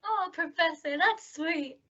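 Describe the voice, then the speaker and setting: Ditzy young innocent, acted by a woman, extremely high, lively bright voice, radio comedy. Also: slow, full character voice. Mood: naive. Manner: guileless, ditzy. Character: sweet, scatterbrained young dumb-blonde type. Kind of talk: old-time radio comedy acting. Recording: dull lo-fi recording.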